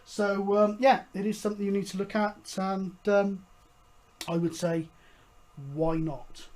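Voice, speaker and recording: Hesitant hobby show host, medium pitch. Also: flat delivery, a little slow, darkish tone. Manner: hesitant, rambling, matter-of-fact delivery. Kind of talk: casual hobbyist explainer.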